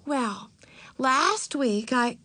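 Woman as an earnest, higher-voiced young girl. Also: expressive, extremely slow, sharp tone, no echo, heavily acted. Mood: eager. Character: earnest older sister, an exasperated young girl.

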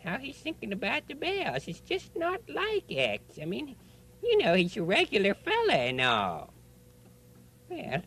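A man's voice: extremely high pitch, huge pitch swings, measured pace, a bit bright, husky, heavily acted. A man voicing a mild, good-natured, folksy puppet fellow in a children's show, speaking hesitantly. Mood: puzzled. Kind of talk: children's television puppet play.

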